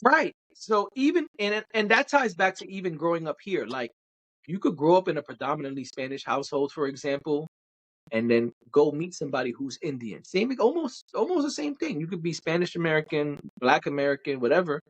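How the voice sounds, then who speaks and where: A young adult man from Brooklyn, New York, co-hosting a podcast and talking at length in an animated, emphatic way. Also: fairly high pitch, brisk, lo-fi sound. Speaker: podcast co-host. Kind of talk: casual chat.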